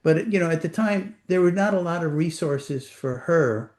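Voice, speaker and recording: A man's voice: a little high, darkish tone. Older man with a Puerto Rican accent. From Puerto Rico. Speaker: author being interviewed. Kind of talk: reflective conversation.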